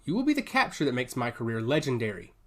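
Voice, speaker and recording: A man's voice: medium pitch, wildly swooping pitch, fast. A man voicing an arrogant, cocky armored soldier captain who scorns outlaws, in an audiobook story. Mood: cocky, boastful. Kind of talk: dramatized audiobook dialogue.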